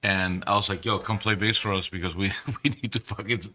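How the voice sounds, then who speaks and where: A Peru-born man in his forties, a band member, recounts the early days of his group in a loose, swearing, animated way. His voice sits low but comes across very bright and forceful. He keeps a casual interview tone. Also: brisk. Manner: animated, profane, rambling storyteller.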